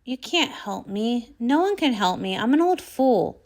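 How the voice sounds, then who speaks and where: A woman's voice: fairly high pitch, sing-song, a little slow, a little mellow. A woman voicing a grumpy, self-pitying old male wizard pony in a storybook, grumbling in defeat. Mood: bitter, dejected.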